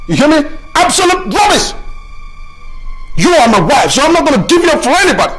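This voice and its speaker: An actor playing a desperate, possessive man who swings between pleading and shouting, with film score behind. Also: a little high, huge pitch swings, normal speed, slightly rough, faint music behind, heavily acted, poor audio. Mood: furious. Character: desperate possessive man clinging to his love.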